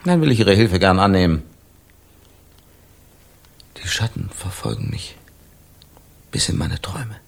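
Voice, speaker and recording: An actor in a German radio crime drama playing an anguished, formal amnesiac man who speaks haltingly, with a husky, gravelly voice. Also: sits low, big pitch swings, exaggerated voice. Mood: haunted. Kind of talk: German radio play acting.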